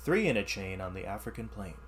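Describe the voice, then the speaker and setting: A man teaching through an expressive read-aloud of rhyming science verse, with explanatory asides in an instructional manner. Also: on the low side, fairly quick, slight background noise. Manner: animated, instructional.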